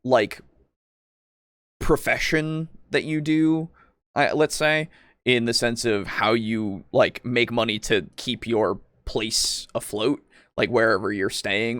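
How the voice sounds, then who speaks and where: A man's voice: mid-range voice, a bit bright, dry recording. Rambling man in a casual, close dry chat. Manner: rambling, hesitant, searching for words; casual swearing. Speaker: podcast co-host. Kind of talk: casual tabletop roleplaying discussion.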